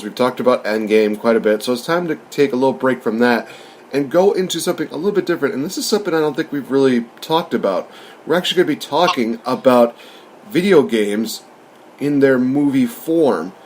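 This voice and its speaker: A man hosting a podcast, talking through a film review in an informal, chatty, rambling way. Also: medium pitch. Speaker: podcast host.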